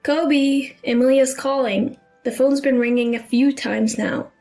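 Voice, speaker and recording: A woman's voice: high voice, fairly even intonation, a little slow, mellow, soft background music. A woman narrator voicing a worried, probing mother with an earnest, questioning manner. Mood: nagging. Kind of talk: dramatised story dialogue.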